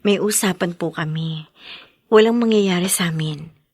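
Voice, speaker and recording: A woman playing a respectful, sincere young single mother in an audio drama, soft-spoken and deferential. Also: on the low side, normal speed, slightly rough, no room sound, studio quality. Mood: meek, explaining. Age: young adult. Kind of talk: melodramatic radio-style drama acting.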